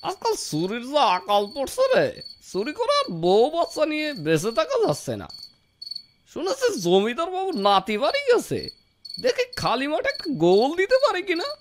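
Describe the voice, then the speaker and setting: A man's voice: very high voice, huge pitch swings, normal speed, a little hiss or hum, exaggerated voice. A man voicing a sly, greedy servant and petty thief in a comic cartoon, theatrical and wheedling. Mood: gleeful scheming. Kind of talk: exaggerated comic cartoon dubbing.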